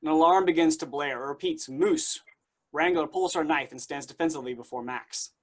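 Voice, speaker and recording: A man's voice: fairly high pitch, fairly quick. A man reading stage directions aloud as the narrator of a staged play reading.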